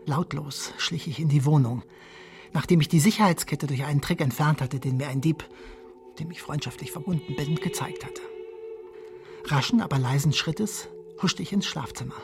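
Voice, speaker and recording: A jealous husband, quietly confessional. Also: male voice, fairly high pitch, normal speed, vocal fry, faint music behind. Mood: furtive, confessional. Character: jealous, cuckolded husband, childish and ironic, confessing his schemes. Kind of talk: radio drama first-person monologue.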